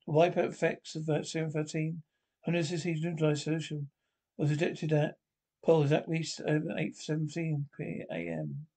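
A man reads an old radio drama script aloud as its narrator. His voice is mellow and creaky, slightly high, and his delivery halts and stumbles. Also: little pitch movement. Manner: halting, stumbles over words. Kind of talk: amateur solo reading of radio drama script, stage directions aloud.

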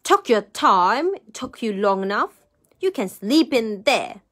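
A woman's voice: fairly high pitch, big pitch swings, a little slow, fairly bright tone, heavily acted. A woman voicing a rude, pompous rich man in a children's story, curt, bellowing and snappish. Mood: impatient.

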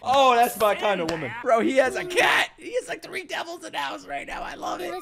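Young guy streaming an anime reaction at home, swears a ton, voice jumps all over, yells and laughs into a desk mic. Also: extremely high pitch, brisk. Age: young adult. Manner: excitable, swears a lot, bursts into shouts and laughter. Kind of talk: casual reaction commentary. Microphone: boom-mounted desk mic, wearing headphones.

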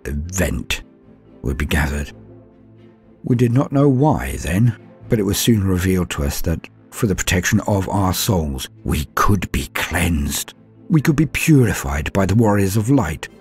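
A low-pitched man acting as a horror-struck male survivor gives grim testimony over music. He speaks solemnly and with measured, dramatic pauses, as in an audio horror tale. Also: a little mellow. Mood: desperate dread. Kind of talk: dramatic first-person horror narration.

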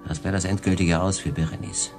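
A very low male voice of an actor plays a weary castaway sailor in a radio drama. He broods as he recounts his ordeal, with music underneath. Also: flat delivery, brisk, darkish tone, close to an everyday voice. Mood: weary, brooding. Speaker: narrator and main character. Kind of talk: German radio drama monologue narration.

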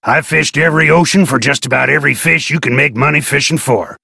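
A gruff, folksy fisherman. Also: fairly high pitch, fast, pushed and bright, no room sound, clean studio sound, full character voice. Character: gruff fisherman and harbor master hopeful, blunt. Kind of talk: video game voice acting.

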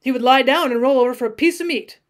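A woman acts out a young cowboy in a storybook tale. He is folksy and insistent, full of mock sorrow and earnest bluff, in a high-set voice. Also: fast, lightly acted. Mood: defensive. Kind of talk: acted storybook dialogue.

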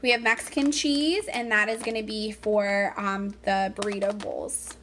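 A woman's voice: mid-range voice, fairly even intonation, a little slow, a bit bright, slight background noise. A woman chatting casually as she narrates grocery items for a home vlog, speaking from behind the camera. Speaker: vlogger showing a grocery haul.

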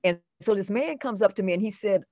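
An older woman from North Carolina reminisces as an interview guest. She tells her anecdotes casually and haltingly at a middle pitch. The sound is dry, with little room in it. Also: warm tone, dry recording. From North Carolina, United States. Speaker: guest actress. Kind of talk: casual personal storytelling. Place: room at home, on a video call.

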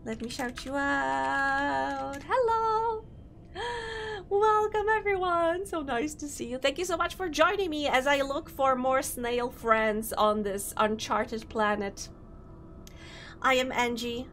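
A woman's voice: sits high, slow, a bit bright, a little hiss or hum. A young woman with a Polish accent, a Polish native speaker chatting bubbly on a gaming live stream and greeting viewers. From Poland. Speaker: VTuber streamer. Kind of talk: casual live-stream chat while gaming.

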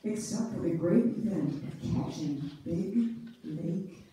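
Mellow woman narrator, very echoey hall. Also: on the low side, expressive, takes it slowly. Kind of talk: scripted narration, live readers theater. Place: church sanctuary, before an audience. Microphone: far camera among the audience. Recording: echoey, distant church hall, faint noise.

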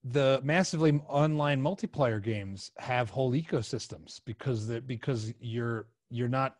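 A man's voice: mid-range voice, normal speed, a little mellow, no room sound. A middle-aged man chatting casually as a podcast host, speaking close to the microphone. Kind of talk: casual conversational podcast interview. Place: small room with couch, indoors. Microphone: close podcast microphone on boom, headset.

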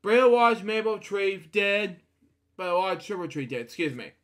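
A man reading a bedtime storybook aloud in a halting way, often breaking off to comment. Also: high-pitched, very animated, fairly bright tone. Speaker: storybook reader. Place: indoor room at a computer.

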